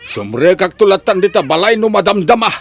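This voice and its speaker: A man's voice: high voice, big pitch swings, a little fast, vocal fry, music in the background, heavily acted. A man with a Filipino accent playing a proud, hardworking, doting farmer father in an audio drama. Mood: hopeful, proud. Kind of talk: Ilocano audio drama acting. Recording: faint background noise.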